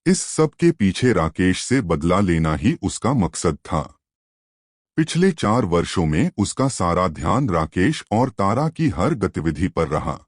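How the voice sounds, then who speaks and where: A man's voice: deep voice, sing-song, measured pace, husky, dry recording, studio quality. A man narrating a scripted suspense story as a storyteller.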